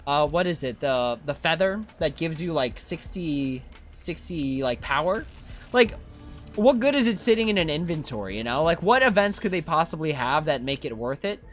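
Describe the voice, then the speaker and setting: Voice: medium pitch, a bit bright. A streamer giving casual, rambling, easygoing commentary while playing a video game. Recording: game music behind voice. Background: video game music.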